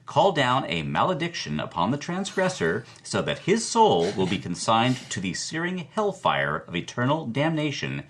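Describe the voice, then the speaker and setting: A chatty film historian, recounts old movie lore with relish. His delivery swings widely in pitch at a middling register, in interview style. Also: normal speed, hardly any pauses, slight background noise. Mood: amused, relishing. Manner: animated, relishing, quotes with flourish.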